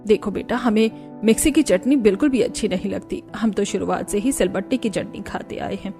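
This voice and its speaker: A woman's voice: mid-range voice, a little fast, over music, pitched below the performer's own voice, natural voice. A woman voicing an older, food-fussy mother-in-law in a cartoon family drama. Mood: firm.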